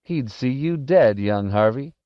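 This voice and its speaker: A man's voice: medium pitch, expressive, unhurried, smooth, close to an everyday voice. A man voicing a wiry, sinister male tempter in a storybook, hissing and chanting as he goads insistently. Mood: sly. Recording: roomy, dull lo-fi audio.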